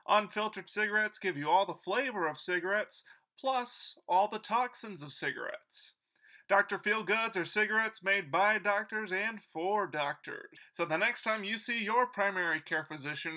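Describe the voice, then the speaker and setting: A man's voice: high-pitched, bright, forceful tone. A man playing a folksy, earnest old-time radio host reading a sponsor's ad in a gee-whiz, salesmanlike way. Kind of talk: 1940s radio announcer parody, scripted ad read.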